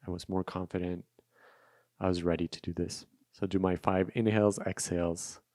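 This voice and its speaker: Deep-voiced, reflective man, close and dry. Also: warm tone, dry recording. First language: Russian. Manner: reflective, repeats phrases for emphasis. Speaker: podcast host. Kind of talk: unscripted solo podcast monologue, personal storytelling.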